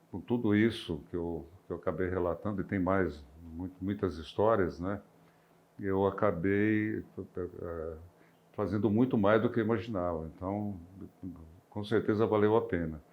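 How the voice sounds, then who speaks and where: An older man with a very low, deep voice and a rural São Paulo interior accent speaks slowly through his memories, pausing on fillers and restarting his phrases. The sound is a little dull and muffled. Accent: rural São Paulo interior, near Minas Gerais. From interior of São Paulo state, Brazil. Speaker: retired physician. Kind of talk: reflective personal recollection.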